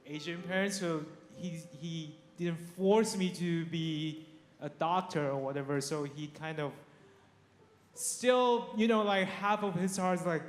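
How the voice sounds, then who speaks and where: A man's voice: on the high side, measured pace. A man from Taiwan, sharing his life story with a church congregation in a chatty way, laughing often and restarting his sentences. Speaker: guest speaker. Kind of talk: personal testimony to a congregation. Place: church sanctuary. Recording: echoey hall with faint noise.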